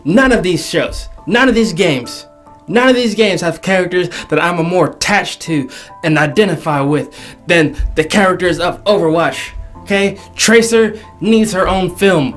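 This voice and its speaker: A man's voice: high voice, a little slow. A man hosting a casual, jokey video countdown, speaking emphatically and repeating phrases for effect. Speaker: host of a video game movie countdown show. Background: faint background music.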